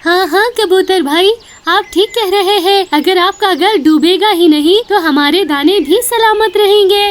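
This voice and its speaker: A woman's voice: extremely high pitch, measured pace, a little hiss or hum, voice pushed up. A woman voicing an earnest, sensible little female bird in a cartoon story. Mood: curious, agreeable.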